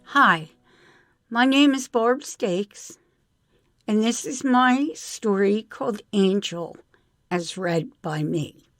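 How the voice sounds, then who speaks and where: A woman's voice: mid-range voice, lively intonation, slow, a bit bright, soft background music. A woman reading her own literary short story aloud in the first person.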